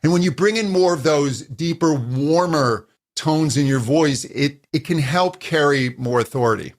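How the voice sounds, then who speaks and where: A man who coaches voice users, answering interview questions and explaining at an uneven, animated pace. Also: on the high side, moderate pace. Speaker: voice coach, guest.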